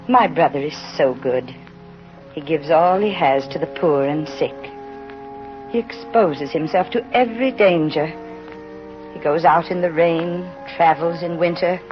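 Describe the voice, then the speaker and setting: Gentle old spinster reading her letter, 1930s radio drama, dull vintage sound, music underneath. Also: sits low. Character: gentle, devout old woman, the bishop's sister. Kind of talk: letter read aloud, 1930s radio acting. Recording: muffled old radio recording.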